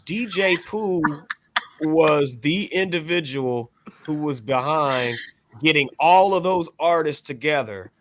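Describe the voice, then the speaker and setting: A man's voice: a little high, animated, slow, fairly bright tone, no room sound. An American man chatting casually as a panelist on a music podcast. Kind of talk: casual conversation, agreeing and reminiscing.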